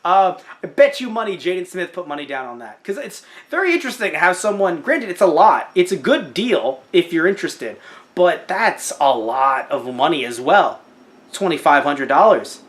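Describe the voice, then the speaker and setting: A young man co-hosting a casual podcast, animated and joking, with mocking asides. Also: fairly high pitch, moderate pace. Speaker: podcast co-host. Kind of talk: casual chat about a crowdfunding campaign.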